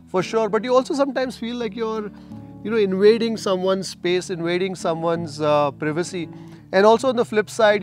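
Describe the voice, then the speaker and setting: A man's voice: high voice, a little mellow. A middle-aged man, talking casually to camera outdoors as a travel vlogger, fluent and chatty with frequent fillers. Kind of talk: casual vlog narration to camera. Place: outdoors in a garden.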